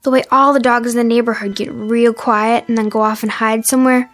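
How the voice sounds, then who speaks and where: An actress in a radio drama playing an earnest, caring teenage daughter who questions anxiously. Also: female voice, a little high, steady tone, fairly quick, a bit bright, no echo, natural voice. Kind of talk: naturalistic radio drama acting.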